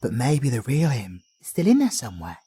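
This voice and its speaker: A man's voice: medium pitch, huge pitch swings, fairly quick, warm tone, slightly rough, exaggerated voice. A young man with a British accent, voicing a friendly, chatty male traveler companion who rambles and uses matey slang in an audio roleplay. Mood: reassuring.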